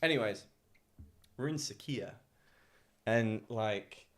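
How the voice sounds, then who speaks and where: Rambling British man, sweary and low. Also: slow, a bit bright. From England. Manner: rambling, profane, laughs often. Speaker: podcast co-host, English teacher in Japan. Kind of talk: casual chat.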